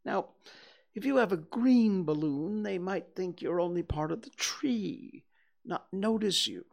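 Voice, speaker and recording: A reader putting on Pooh, a slow, fretful toy bear. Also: mid-range voice, lively intonation, vocal fry, a little mellow, pitched above the performer's own voice. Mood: ponderous. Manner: slow, ponderous, hesitant with stammers. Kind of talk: storybook reading.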